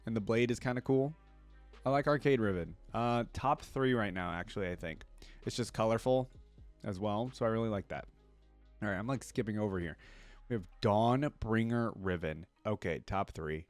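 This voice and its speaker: A man's voice: lowish voice, moderate pace, faint music behind. A man giving casual, slangy reaction commentary as a video host, thinking aloud as he goes.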